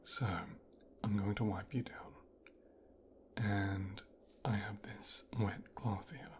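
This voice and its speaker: A man with a low voice plays a mafia boss's son in an ASMR roleplay. He talks very slowly and softly, slipping often into a whisper, giving teasing commands right at the microphone. A faint noise sits behind him. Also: level tone. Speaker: ASMR voice actor performing a roleplay. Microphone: close microphone.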